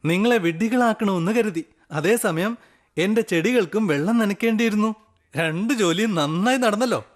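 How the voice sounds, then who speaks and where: Cartoon court jester, played by a man: high-pitched, quick-witted, confident. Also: lightly acted. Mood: cheerfully mocking. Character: clever, witty court jester, calm and confident. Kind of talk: animated children's story dialogue.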